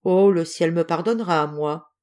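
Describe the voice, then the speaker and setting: A woman's voice: on the low side, normal speed, a little mellow, natural voice. Woman acting an anguished young mother, impassioned, pleading, fiercely protective, gothic storybook drama.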